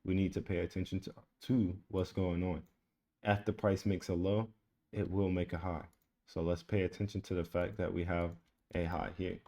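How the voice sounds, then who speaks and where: A man with a low, mellow voice talks through a price chart in a relaxed, repetitive way. He keeps a flat, even tone as he points out levels and entries, like a casual screen-share lesson. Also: moderate pace. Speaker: trading educator. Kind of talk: screen-share trading tutorial.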